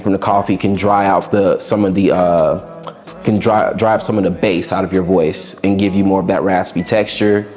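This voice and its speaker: Man, young adult, raspy, low-pitched, laid-back, reflective, interview guest, faint music behind. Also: fairly even intonation, moderate pace. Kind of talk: casual interview answers.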